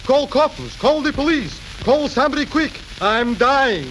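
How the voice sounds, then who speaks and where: A man playing an excitable, haggling middle-aged used-car dealer and father in a 1930s radio comedy. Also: very high voice, normal speed. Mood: panicked. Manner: haggling, excitable, inverted phrasing. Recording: crackly, hissy 1930s radio recording.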